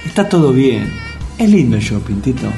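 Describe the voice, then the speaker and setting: An actor playing an enthusiastic, placating café buddy in a comic radio play, with a colloquial Buenos Aires accent. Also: male voice, medium pitch, big pitch swings, normal speed, music in the background. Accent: Buenos Aires Argentine. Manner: colloquial, coaxing.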